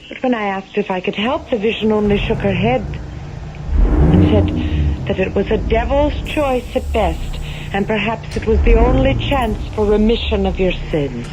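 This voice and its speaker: An actress playing a devout young daughter in an old-time radio drama, earnest and hesitant. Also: female voice, mid-range voice, sing-song, moderate pace, lightly acted. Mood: earnest, pleading. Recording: muffled radio playback with vehicle noise.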